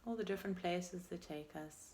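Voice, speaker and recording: A woman leading a guided meditation as a teacher, speaking in a gentle, unhurried and soothing way with pauses between phrases. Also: on the low side. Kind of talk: guided meditation, body scan.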